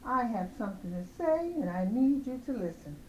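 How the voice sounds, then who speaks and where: A slightly hoarse woman hosting a show of casual opinion commentary on celebrity news, speaking in an emphatic, admonishing way. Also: a little high, big pitch swings, a little fast, warm tone, slight background noise. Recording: roomy, echoing, dull lo-fi sound.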